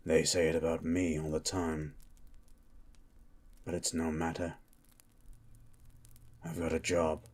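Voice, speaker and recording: A man playing a gruff, suspicious monster hunter in an ASMR roleplay, with a guarded, dry manner. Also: darkish tone, full character voice. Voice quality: low, gravelly-husky.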